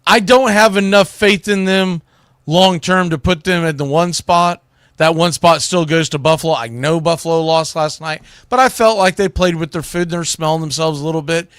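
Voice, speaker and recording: A male sports radio host with a slightly high voice, recorded close and dry. He gives casual, colloquial commentary with plenty of opinion, talking directly to the listener. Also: fairly bright tone, smooth, no room sound. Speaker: sports talk show host.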